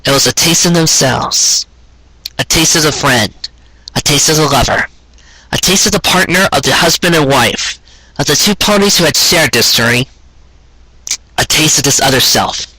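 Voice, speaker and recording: Male story reader, earnest and dramatic, bright edgy tone, close dry sound. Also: fairly high pitch, expressive, moderate pace, long pauses, breathy edge, no room sound, poor audio. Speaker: fan fiction reader and show host. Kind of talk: expressive narrated story reading.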